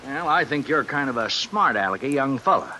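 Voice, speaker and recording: A folksy, paternal older small-town lawman in vintage radio drama style. He talks down in a wry, fatherly way at a medium pitch. The old recording hisses faintly under rain. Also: animated, a little fast, pushed and bright, rough recording. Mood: lecturing, wry. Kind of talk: 1950s radio drama acting.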